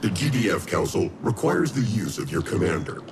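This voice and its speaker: A man's voice: deep voice, very animated, fairly quick, background noise. An actor playing a formal, authoritative male director. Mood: stern.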